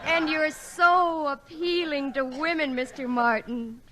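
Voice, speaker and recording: A secretary on an old radio comedy, high sharp voice, snappy or gushy. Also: female voice, steady tone, slow, exaggerated voice. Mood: gushing. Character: brisk female secretary who turns gushing admirer. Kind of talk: 1940s-50s radio comedy acting.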